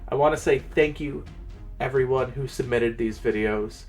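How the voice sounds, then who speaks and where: Brief: male host and game master, dramatic fantasy narration, mid-pitched, deliberate pauses, music underneath. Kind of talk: dramatic reading and channel presenting.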